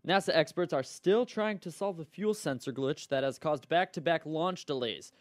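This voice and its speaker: A man's voice: a little high, normal speed, no echo, clean studio sound. A man presenting radio news, sports updates and sports talk as an anchor and host. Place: radio studio.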